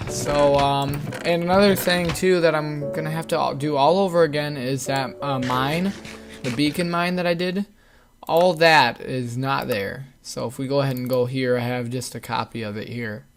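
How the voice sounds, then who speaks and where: A man's voice: medium pitch, moderate pace, over music. A young man with a voice that is giving out, rambling through a casual game commentary with many fillers. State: losing his voice. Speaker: gaming channel host. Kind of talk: casual Let's Play commentary.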